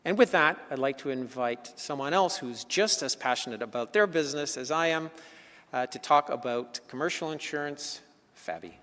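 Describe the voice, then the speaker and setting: A man presenting as an insurance executive, reading measured points and stressing each new item. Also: on the high side, fairly bright tone. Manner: measured, enumerating points, rising emphasis on openers. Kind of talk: scripted corporate presentation. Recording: long hall reverb.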